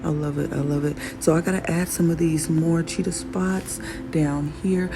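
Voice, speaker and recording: A raspy woman vlogging casually about her own work, admiring it out loud and laughing often. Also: sits low, steady tone, normal speed. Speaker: fashion designer vlogger. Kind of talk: casual show-and-tell vlog. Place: workshop in Arizona. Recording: music playing behind the voice.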